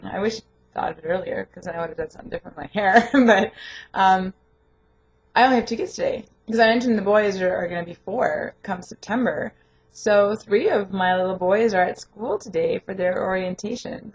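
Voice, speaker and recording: A young woman with a lingering hoarse voice, chatting casually and rambling in a home vlog, laughing often. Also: on the low side, lo-fi sound. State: hoarse, voice not fully recovered. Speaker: vlogger and home daycare provider. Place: her home, indoors.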